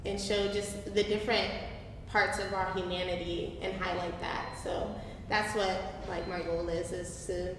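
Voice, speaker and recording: A woman in her twenties answering in a panel discussion, speaking hesitantly and haltingly in a reverberant room. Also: lowish voice, flat delivery. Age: 20s. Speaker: playwright and youth ensemble member. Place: reverberant room at a live staged reading. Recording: echoey hall, noticeable background noise.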